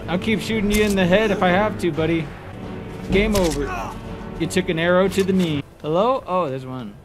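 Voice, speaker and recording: A man's voice: sits high, normal speed, over music. A man giving casual let's play commentary, playful and taunting his enemies as he exclaims. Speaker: gamer playing and commentating. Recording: clearly noisy background with game ambience.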